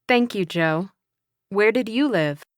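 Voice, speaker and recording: A woman playing an encouraging but firm English teacher, speaking slowly and carefully in a scripted classroom dialogue. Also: medium pitch, lively intonation, clean tone, dry recording, studio quality. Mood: instructive. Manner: slow, careful, instructive delivery.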